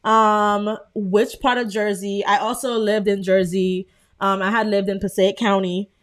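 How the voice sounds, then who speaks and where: A woman's voice: mid-range voice, flat delivery, measured pace. Chatty Brooklyn woman on a livestream. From Brooklyn, New York. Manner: chatty, many fillers, greets viewers. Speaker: livestream host. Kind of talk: casual live tutorial chat.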